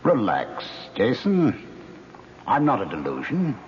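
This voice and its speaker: Eerie, wildly swooping ghost. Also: male voice, medium pitch, wildly swooping pitch, slow. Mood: reassuring. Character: calm, eerie dead man speaking from a television. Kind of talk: 1970s radio drama acting. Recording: muffled radio recording with faint noise.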